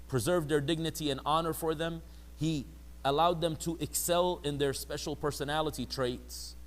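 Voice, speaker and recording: A man teaching a religious lecture to a class, animated, asking the audience questions and acting out the people he quotes. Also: on the high side. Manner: animated, acts out quoted people in raised mocking voices; asks the audience rhetorical questions.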